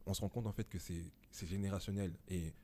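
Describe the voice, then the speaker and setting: A man in his twenties, a podcast guest talking casually and reflectively at home, pausing often with fillers. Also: low-pitched, fairly even intonation, heavy background noise. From Belgium. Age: 20s. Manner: reflective, many hesitations.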